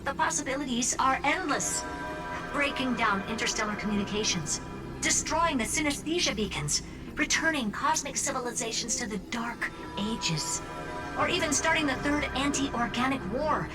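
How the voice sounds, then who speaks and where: A woman's voice: high-pitched, expressive, moderate pace. An actress playing a confident, teasing woman genius in a video game, speaking with wry self-assurance. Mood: grave, emphatic. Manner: wry, self-assured, talking down playfully to the listener. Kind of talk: video game dialogue voice acting. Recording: loud music bed under voice. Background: background music.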